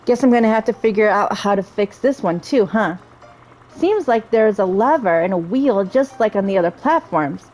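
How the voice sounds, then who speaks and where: A woman's voice: medium pitch, darkish tone, rough recording. A woman casually commentating a video game as its host, chatting and thinking aloud and sometimes reading the on-screen text.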